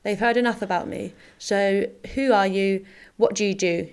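A woman's voice: medium pitch, steady tone, normal speed, darkish tone, clean tone. A woman hosting a casual podcast chat in a friendly, teasing way. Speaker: podcast host and footballer.

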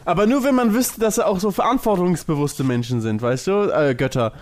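Fast-talking, higher-pitched man in casual chat. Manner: chatty, colloquial, slangy. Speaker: podcast co-host.

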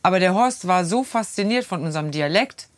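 A woman's voice: fairly low pitch, animated, fairly quick, dry recording. A woman of fifty with a Rhineland German accent, co-hosting a podcast and telling stories in an easygoing, chatty way. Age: 50s. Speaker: podcast co-host. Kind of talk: casual chat.

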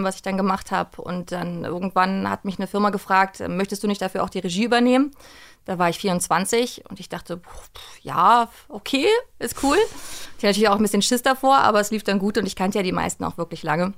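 A woman chatting casually and informally as an interview guest, talking like someone who works in voice acting. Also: medium pitch. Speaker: interview guest, voice actor and audio drama director.

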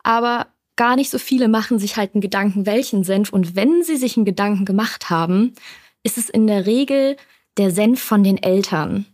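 A woman's voice: mid-range voice, normal speed, a little mellow, dry recording. Woman explaining, dry close sound. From Düsseldorf, North Rhine-Westphalia, Germany. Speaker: brand manager, podcast guest. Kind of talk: conversational explaining in an interview.

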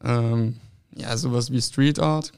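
A man's voice: mid-range voice, fairly even intonation, slow pace, vocal fry, darkish tone, smooth. A young man born in France and raised in Berlin, a football player giving short, hesitant answers with many fillers. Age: young adult. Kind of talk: casual interview answers.

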